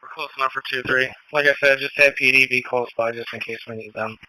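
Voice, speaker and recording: A man's voice: mid-range voice, fairly even intonation, fast, sharp tone, dry recording, low-quality recording. A man responding in the field, speaking matter-of-factly over a two-way radio. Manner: matter-of-fact. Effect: two-way radio transmission. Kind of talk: radio traffic.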